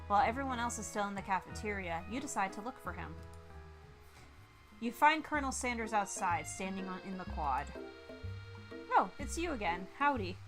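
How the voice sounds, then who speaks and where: A woman livestreaming a visual novel, reading it aloud and chatting with giggly, expressive reactions. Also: mid-range voice, a little fast, over music. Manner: giggly, expressive, reacting between read lines. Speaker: streamer playing a visual novel. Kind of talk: livestream game read-along with chatty reactions.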